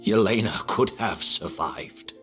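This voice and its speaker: A man voicing a frail, remorseful male perfumer who speaks in a measured, honest way. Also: fairly low pitch, over music.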